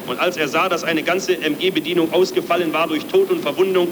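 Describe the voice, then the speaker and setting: A man's voice: on the high side, steady tone, brisk, noisy background. A man delivering a wartime propaganda speech in an emphatic, declamatory manner on an archival recording. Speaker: Nazi party political speaker.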